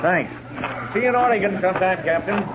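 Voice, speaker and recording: A very noisy, muffled old radio recording. A man acts out a hearty, homespun frontier farmer in charge of a wagon train, his voice a little high for a man, his talk rustic and folksy. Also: a little fast, close to an everyday voice. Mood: friendly, uneasy. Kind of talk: 1950s radio western acting.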